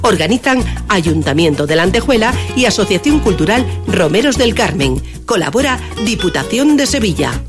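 A woman reading a radio event announcement in a clear, inviting manner. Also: mid-range voice, sing-song, moderate pace, music in the background.

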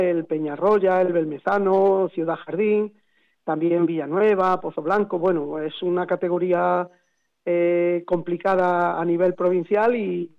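A man, a football coach speaking by phone, hesitant and repeating words. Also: high voice. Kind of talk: phone interview. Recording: tinny phone line.

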